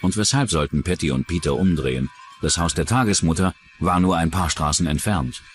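A man's voice: deep voice, a little fast, faint music behind. A man narrating a true-crime documentary from a script as its narrator.

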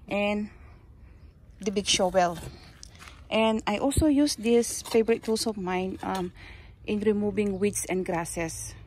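A Filipino woman whose first language is Kapampangan talks slowly and haltingly into a handheld phone, pointing out things in her backyard garden. She often pauses to find the right English word. Faint outdoor ambience sits behind her mid-pitched voice. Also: darkish tone, slight background noise. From Philippines. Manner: slow, halting, searches for words. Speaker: gardening vlogger. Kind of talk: casual show-and-tell. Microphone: handheld phone, speaker off camera.

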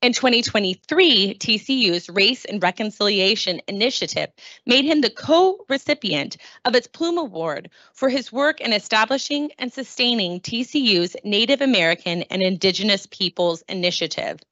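A woman's voice: medium pitch, expressive, normal speed, sharp tone, dry recording. Young woman as event host, formal read-out introduction, handheld mic, dry and a bit muffled. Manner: formal, welcoming, reads lists of names and awards. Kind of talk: reading a prepared introduction. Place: library meeting room with large windows. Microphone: handheld microphone.

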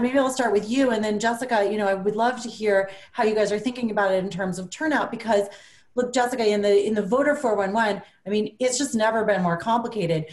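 A woman's voice: medium pitch, a little mellow. Woman in her 40s moderating a video call panel, eager rambling questions, echoey room at home.

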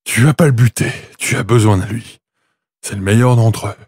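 An actor playing a placating, bumbling divine boss, hesitant and colloquial, in a comedic audio drama with noticeable background noise. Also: male voice, on the low side, moderate pace, darkish tone, husky, no echo, studio quality. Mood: placating, flustered.

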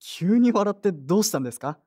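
A woman playing an earnest, polite young man in an audio drama, soft-spoken and sincere. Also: mid-range voice, lively intonation, fast, husky. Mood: earnest, surprised. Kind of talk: Japanese audio drama acting and first-person narration.

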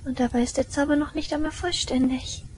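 A woman playing an obsessive, vengeful young shrine maiden in a German audio drama, eerily tender, with breathy whispers and slow menace. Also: high-pitched, fairly even intonation, a little mellow, a little hiss or hum, close to an everyday voice. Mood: gloating, unhinged.

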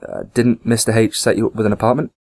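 A man's voice: fairly low pitch, little pitch movement, quick pace, darkish tone, close to an everyday voice. A man playing a wary, awkward, antisocial young man in an audio drama, hesitant when flustered. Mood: guarded.

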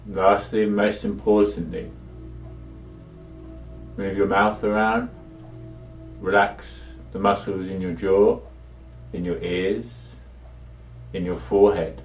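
A man with a low, level voice guides a meditation in a measured, soothing way. He leaves long pauses between short instructions. The sound is echoey and set back from the microphone. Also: little pitch movement, recorded from a distance, soft background music.

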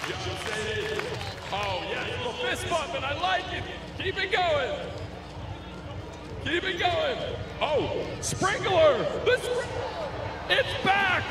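Excited emcee man on stadium PA. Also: very high voice, takes it slowly, long pauses, noisy background. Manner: excited, cheering running commentary. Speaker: on-field emcee. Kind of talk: leading a crowd warm-up. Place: outdoor stadium field. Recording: echoey stadium PA from far off, loud crowd.

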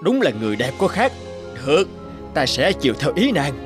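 A swaggering, lustful cartoon warlord, boastful and self-satisfied. His pitch swings in a lively way, with clear music underneath. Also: brisk. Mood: smug, delighted. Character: swaggering lustful enemy general, pleased with himself. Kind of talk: cartoon villain.

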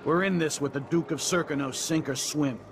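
A man's voice: on the high side, brisk, background noise, lightly acted. An actor playing an earnest soldier, a henchman in a coup, in a video game. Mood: urgent, commanding. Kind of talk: dramatic game voice acting.

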